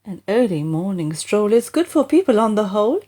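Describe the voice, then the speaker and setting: A woman's voice: medium pitch, sing-song, normal speed, dark tone. A woman voicing a hearty, fussy, old-fashioned father from a comic storybook, with a pompous, exclamatory manner.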